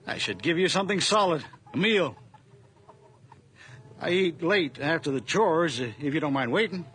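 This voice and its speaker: A man's voice: a little high, animated, moderate pace, a bit bright, a little hiss or hum. A man playing a kindly, lonely old widowed farmer in a 1980s radio drama, speaking in a plain, folksy, hesitant way. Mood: kindly, concerned. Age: older.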